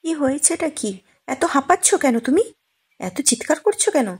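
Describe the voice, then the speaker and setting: A woman's voice: high voice, lively intonation, a little fast. A woman voicing a thrifty, practical old wife who scolds her husband. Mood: alarmed.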